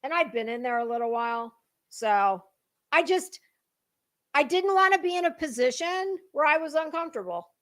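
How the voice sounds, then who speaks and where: High-pitched woman, halting and emphatic. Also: lively intonation, a bit bright. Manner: halting, emphatic, with deliberate pauses and repeated words. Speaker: online drama commentator and livestream host. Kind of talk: casual dramatic monologue about online community gossip.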